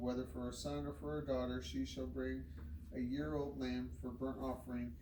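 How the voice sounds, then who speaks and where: A man reads scripture aloud in a measured, solemn manner with very little movement in pitch. He sounds set back from the microphone in an echoing room, and his delivery is that of formal recitation. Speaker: scripture reader. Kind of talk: scripted Bible reading aloud. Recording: roomy, distant microphone, noisy background.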